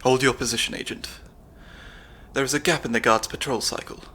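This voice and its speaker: A man's voice: mid-range voice, fairly even intonation, brisk, a little hiss or hum, lightly acted. A young man voicing a nerdy, slightly anxious stand-in spy handler with dry wit, in an ASMR audio roleplay. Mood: analytical. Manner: analytical, dry wit, soft-spoken.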